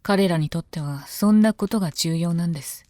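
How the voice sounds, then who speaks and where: A woman playing a composed, devoted female knight of common birth in a Japanese voice drama, speaking calmly, politely and softly. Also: fairly low pitch, brisk, no echo, close to an everyday voice. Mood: resigned, composed. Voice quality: calm, composed. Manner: polite, deferential, soft-spoken. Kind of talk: Japanese voice drama, intimate scripted dialogue.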